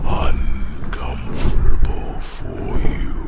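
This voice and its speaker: A man voicing an ancient, weary male dragon in an ASMR fantasy roleplay, solemn, formal and protective, with a gravelly, breathy growl. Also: heavy background noise. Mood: gentle, reassuring. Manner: slow, solemn, formal, halting phrases.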